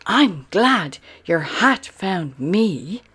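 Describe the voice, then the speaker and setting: A woman's voice: very animated, very slow, fairly bright tone, slightly rough, faint music behind, full character voice. Spot, a woman doing an eager little puppy. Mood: excited. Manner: high, eager exclamations. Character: small excited male puppy.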